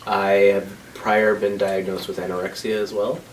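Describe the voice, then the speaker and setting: A man in his thirties in a documentary interview, talking hesitantly with many pauses and fillers. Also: lowish voice, little pitch movement. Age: 30s. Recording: voice from a distance with faint noise.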